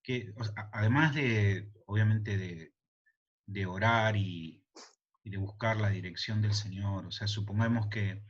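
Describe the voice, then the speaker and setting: A man with an Argentine Spanish accent, interviewing a pastor and asking his questions in a measured, hesitant way. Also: fairly low pitch, husky, muffled recording.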